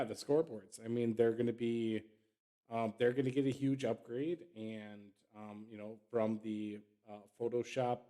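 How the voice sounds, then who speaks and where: Lowish-voiced man, emphatic podcast co-host. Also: mellow. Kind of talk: casual chat.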